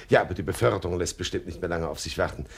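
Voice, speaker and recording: An actor playing a suave, wordy swindler boss with mock-courteous manners in a radio crime comedy. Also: male voice, deep voice, fast, vocal fry, breathy edge, heavily acted. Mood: affable bluffing. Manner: verbose, mock-courteous, hesitant filler words. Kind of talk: 1970s German radio crime comedy acting.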